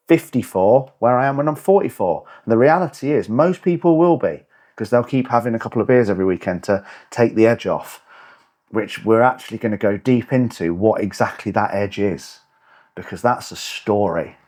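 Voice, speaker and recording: A man speaks in a mid-range voice, neither high nor low. He delivers a motivational podcast monologue in a blunt, emphatic way and swears now and then for punch. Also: normal speed, darkish tone. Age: 40s. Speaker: fitness and lifestyle coach, podcast host.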